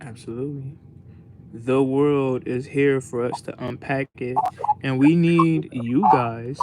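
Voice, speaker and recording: A man's voice: medium pitch, steady tone, takes it slowly, very noisy. An American man co-hosting a podcast, chatting casually in an earnest, rambling way over an online voice call. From United States. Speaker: podcast co-host.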